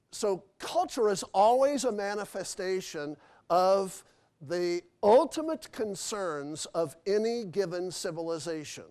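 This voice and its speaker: A man fields audience questions after a lecture in a hotel convention hall. His voice sits high for a man, and he wanders through his answers with frequent fillers and restarts. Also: darkish tone. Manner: rambling, many fillers and restarts.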